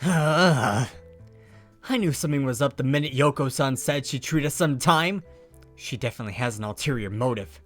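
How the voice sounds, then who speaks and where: A man voicing a jumpy, flustered teenage boy who blurts out loud, exasperated outbursts. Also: mid-range voice, normal speed, soft background music, close to an everyday voice. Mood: grumbling. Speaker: Watanuki. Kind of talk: fan audio drama dub.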